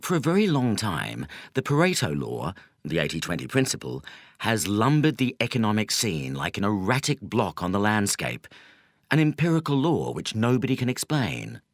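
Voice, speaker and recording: Male audiobook narrator, fairly low voice, animated pitch movement, measured emphatic delivery, scripted nonfiction reading. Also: no echo, studio quality.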